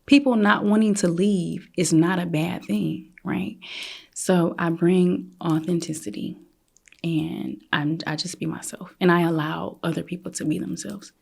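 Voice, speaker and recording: A woman's voice: lowish voice, fairly even intonation, measured pace, vocal fry, darkish tone, husky. A woman in her forties from Detroit, a photographer guest chatting casually and laughing easily on a podcast. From Detroit, USA. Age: 40s. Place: brick-walled podcast studio. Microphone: desk mic on stand.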